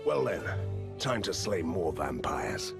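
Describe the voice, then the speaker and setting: A very deep, gravelly monster hunter. He is stern and resolute with dry, deadpan wit, a dramatic video game hero over a music bed. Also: slow, exaggerated voice. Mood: grimly wry.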